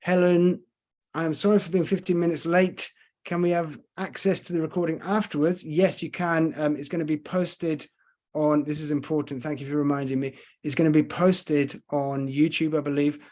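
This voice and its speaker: A man's voice: on the high side, fairly even intonation, moderate pace, darkish tone. Tour guide, male, London accent with slight Scottish traces, chatty, hesitant, video call mic. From Scotland and London. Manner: chatty, hesitant with many fillers. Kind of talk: casual online lecture with Q and A. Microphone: computer mic on video call.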